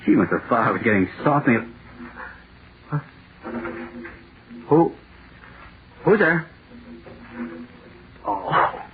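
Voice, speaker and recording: An actor playing a nervous, overworked male author in 1940s radio drama, halting and muttering to himself. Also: medium pitch, sing-song. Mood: jittery, brooding. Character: jittery, overworked male horror-play author, restless and self-doubting. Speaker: radio playwright dictating a script. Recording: scratchy, noisy, tinny old radio broadcast.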